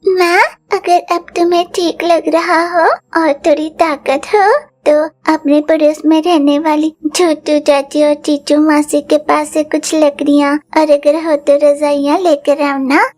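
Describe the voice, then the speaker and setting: A woman's voice: high-pitched, level tone, a little slow, a little mellow, soft background music. A woman voicing a young bird daughter in a cartoon, pleading with her mother in the cold. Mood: asking. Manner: pleading, complaining.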